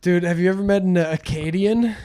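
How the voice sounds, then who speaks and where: A man in casual comic podcast chat, animated and slangy, swearing freely and often amused. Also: high-pitched, brisk. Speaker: comedy podcast host.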